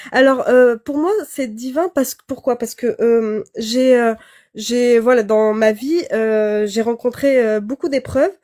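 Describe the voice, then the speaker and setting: A woman's voice: fairly high pitch, a little mellow. A young French-speaking woman on a live online debate, talking hesitantly with many fillers and restarts. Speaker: caller.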